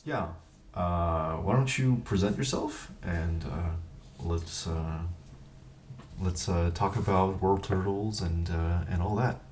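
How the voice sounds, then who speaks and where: A man hosting a casual interview in a halting, loose way, repeating words as he searches for them. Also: sits low, darkish tone, distant mic. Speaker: interviewer, host.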